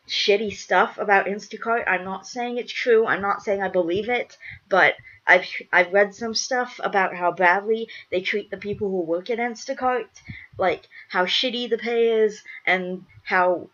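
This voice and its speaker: A woman's voice: on the low side, a bit bright, muffled recording. A young woman with a congested, stuffed-up voice chatting casually to viewers, rambling and emphatically repeating herself. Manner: repetitive, emphatic, restating phrases. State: congested from a cold. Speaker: vlogger.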